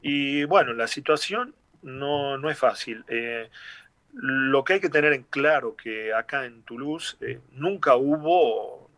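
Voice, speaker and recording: Middle-aged Argentine man, Tucumán accent, gravelly, dry close sound, halting delivery. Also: medium pitch, expressive, fairly bright tone, dry recording. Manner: hesitant, many fillers and restarts. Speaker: singer and former rugby player, guest.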